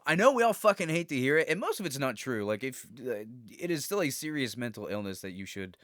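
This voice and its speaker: A man's voice: medium pitch, very animated, quick pace, a bit bright, dry recording, clean studio sound. A man co-hosting a casual podcast, chatting loosely, swearing and laughing often. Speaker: podcast co-host. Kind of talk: casual chat.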